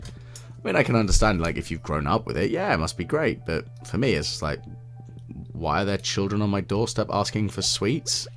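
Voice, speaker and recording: A British man with a deep voice giving relaxed, meandering gameplay commentary with gentle, wry asides. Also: normal speed, faint music behind. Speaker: let's play video host.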